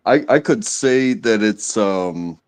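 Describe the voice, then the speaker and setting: A man chatting casually on a live stream, speaking haltingly with many fillers and restarts. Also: fairly low pitch, smooth. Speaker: stream guest. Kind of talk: casual chat.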